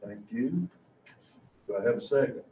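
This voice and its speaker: A man's voice: mid-range voice, animated, normal speed, dark tone. An older man chairing a formal board meeting, speaking in procedural phrases in a large indoor room. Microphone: distant room microphone. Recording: dull, roomy, distant mic, faint noise.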